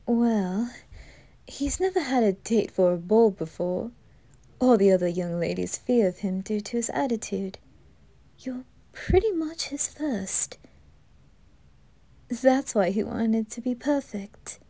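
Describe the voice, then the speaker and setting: A woman voicing a gentle, deferential demon maid, soft and breathy, in an ASMR roleplay. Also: a little high, slow pace, long pauses. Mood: gentle, attentive.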